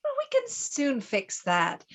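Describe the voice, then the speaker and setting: A woman's voice: sits high, wildly swooping pitch, slow, full character voice. A woman playing a playful, flirtatious Victorian society lady in an amateur radio play.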